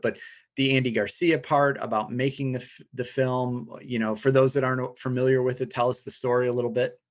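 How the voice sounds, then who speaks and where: A man hosting a podcast, relaxed as he prompts his guest with questions. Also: mid-range voice. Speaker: podcast host. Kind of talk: interview questions.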